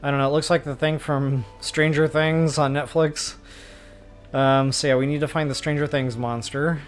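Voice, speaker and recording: Man with folksy, higher-pitched game commentary. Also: flat delivery, moderate pace, slight background noise. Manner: folksy, informal, thinking aloud. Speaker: game walkthrough host. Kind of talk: casual gameplay commentary, explaining as they play. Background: game music behind the voice.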